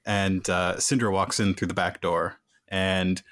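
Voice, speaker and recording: A man's voice: deep voice, level tone, unhurried, fairly bright tone, slight background noise. A man playing a tabletop game, casually describing his moves and dice rolls.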